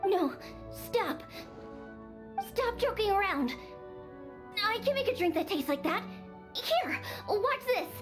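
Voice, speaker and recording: Anime game cat-girl child, very high and swoopy, bratty, stubborn, game music underneath. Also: sing-song, slow pace, pauses often, heavily acted. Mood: frantic, exasperated. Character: young cat-girl bartender, tsundere, exasperated and stubborn.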